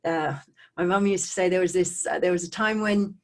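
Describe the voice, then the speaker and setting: A middle-aged woman with an Australian accent giving a personal talk to online viewers in a conversational way, with animated asides and checking in with her listeners. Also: lowish voice, a little fast. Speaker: speaker hosting a live online talk on trauma recovery.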